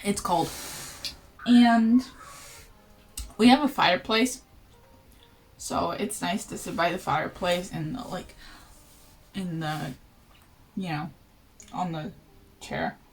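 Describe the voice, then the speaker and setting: A woman's voice: on the low side, slow, long pauses, faint music behind. A woman book vlogger chatting casually to camera at home, playful, with lots of fillers and jokey asides. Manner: chatty and playful, many "like" and "you know" fillers.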